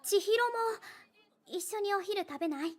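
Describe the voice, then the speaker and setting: A woman playing a gentle, eager teenage Japanese schoolgirl in an anime-style video game. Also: very high-pitched, expressive, a little fast. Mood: friendly, concerned.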